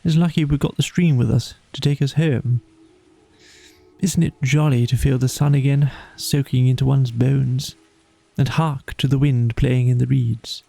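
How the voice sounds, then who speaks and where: A man's voice: medium pitch, fairly even intonation, a little slow, gravelly, mellow, dry recording. A man voicing a dreamy, languid, poetic male water rat in a storybook, with a murmuring, rapt manner. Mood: sleepy contentment.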